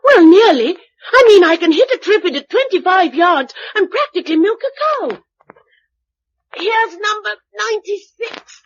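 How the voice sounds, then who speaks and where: A woman's voice: extremely high pitch, very animated, moderate pace. An actress playing an eager, cheeky young farm girl after the apocalypse, in British radio drama style. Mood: boastful, eager. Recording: dull, lo-fi radio drama recording.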